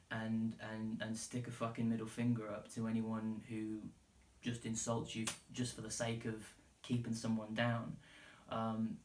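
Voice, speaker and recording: An English man hosts a solo podcast in a loose, unscripted way, wandering through his opinions in informal language with plenty of swearing. His voice sits at an ordinary male pitch. The recording is echoey and sounds some distance from the microphone. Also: darkish tone. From England. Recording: roomy, echoey, distant from the mic.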